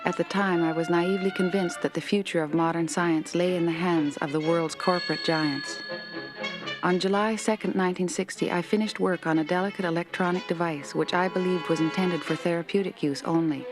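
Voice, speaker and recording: An actor playing a remorseful male inventor making a grave confession in an animated film. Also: a little high, a little fast, over music, lightly acted, low-quality recording. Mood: grim, resigned. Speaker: scientist. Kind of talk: cartoon voice acting.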